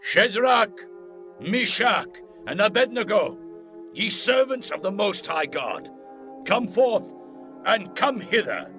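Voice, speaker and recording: An actor playing a proud, wrathful ancient king in a dramatized scripture, speaking in a grand, deliberate, declamatory way. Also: sits high, sing-song, exaggerated voice. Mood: loud summons. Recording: music bed behind the voice.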